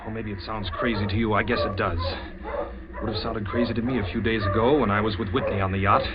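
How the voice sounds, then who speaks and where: An actor playing a haunted, terrified American shipwreck survivor in urgent, theatrical 1940s radio style. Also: male voice, lowish voice, steady tone, fast, heavy background noise, natural voice. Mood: desperate. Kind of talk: 1940s radio acting, first-person dramatic narration. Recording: muffled old radio recording, loud music underneath.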